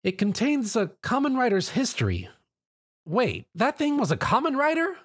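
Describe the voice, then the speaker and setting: A man's voice: very high voice, huge pitch swings, moderate pace, fairly bright tone, husky, pitched above the performer's own voice, heavily acted. A man voicing an earnest, brainy young female pony princess in a story. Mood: puzzled.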